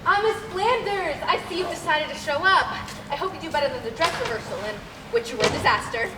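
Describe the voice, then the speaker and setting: A woman's voice: very high-pitched, fast. A young woman playing a pompous, autocratic, vain radio play director in an imperious, theatrical, cutting way. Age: teenager. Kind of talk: amateur stage comedy acting. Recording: echoey hall, far off, noisy.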